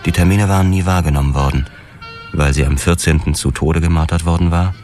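A male actor's very deep-voiced police detective from a radio crime drama. He is dogged, sober and matter-of-fact, wasting no words, with music clearly behind him. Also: fairly even intonation, fairly quick, lightly acted. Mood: sober, grim. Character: hard-boiled male police detective narrating his case, dogged and sober. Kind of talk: German radio drama, first-person crime narration.

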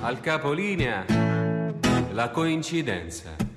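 A man's voice: medium pitch, big pitch swings, takes it slowly. A man singing a comic story in verse, half spoken, over a guitar. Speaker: singer-songwriter. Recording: roomy, with guitar music. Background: acoustic guitar.

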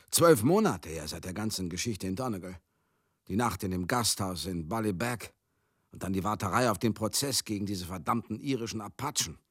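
A garrulous, swooping showbiz character. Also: male voice, fairly low pitch, fairly bright tone, slightly rough, dry recording, clean studio sound, full character voice. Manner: chatty, theatrical, swinging into outbursts. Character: garrulous, rough-mouthed old showbusiness man, sentimental and excitable. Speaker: actor in a radio play. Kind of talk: German radio drama monologue.

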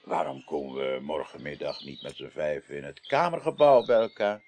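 A man's voice: extremely low pitch, sing-song, normal speed, darkish tone, slight background noise, full character voice. A man playing a middle-aged parliamentary chairman in a radio drama, formal, authoritative and self-assured. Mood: firm. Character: self-assured, genial but demanding male parliamentary chairman. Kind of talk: 1980s Dutch radio drama acting.

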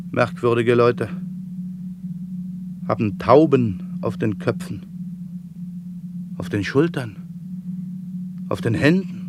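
A man acting a self-important traffic policeman in a radio play, with an emphatic, declamatory manner. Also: medium pitch. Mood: bewildered. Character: self-important male traffic policeman, commanding, growing bewildered. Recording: clear street traffic noise.

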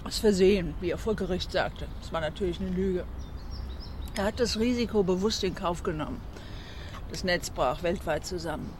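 An actor in a radio drama playing a frank scientist who gossips about a colleague in conversational dialogue. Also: male voice, high-pitched, normal speed, noisy background, close to an everyday voice. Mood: frank, disapproving.

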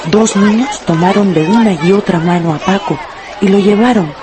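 A woman narrator reading a scripted radio story in an expressive, dramatic storytelling manner. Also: medium pitch, moderate pace. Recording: loud music and crowd effects behind.

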